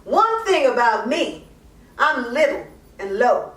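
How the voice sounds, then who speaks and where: Woman playing a sassy, slow-talking flirtatious lady. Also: fairly high pitch, very animated, a bit bright, heavily acted. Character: sassy, flirtatious women boasting of their charms. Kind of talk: playful dramatic poetry reading. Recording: roomy echo, distant microphone.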